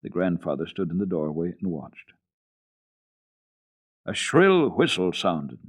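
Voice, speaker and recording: A man reading a children's story for radio in an expressive, deliberate, theatrical way. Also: low voice, husky.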